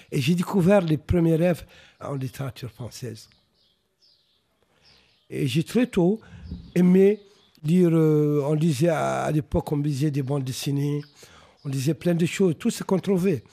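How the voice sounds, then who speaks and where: Gravelly-voiced Mauritanian man, thoughtful. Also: fairly high pitch, warm tone. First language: Arabic. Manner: thoughtful, halting with restarts. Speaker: novelist, interview guest. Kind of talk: reflective radio interview answers.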